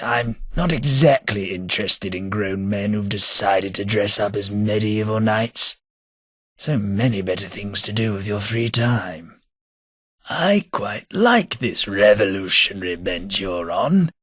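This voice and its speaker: A husky, world-weary male ghoul with a British accent trades in dry, sarcastic upper-class wit, as a man voices him for a video game. His delivery is slow and unhurried, with a low but forceful, bright edge to the voice. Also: no echo, exaggerated voice. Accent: British English. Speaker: game companion.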